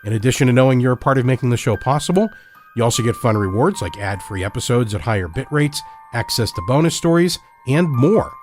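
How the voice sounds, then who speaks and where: A man hosting and narrating an audio drama, reading a scripted story in a measured, deliberate manner. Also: medium pitch, lively intonation, a little mellow, faint music behind. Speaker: host and narrator.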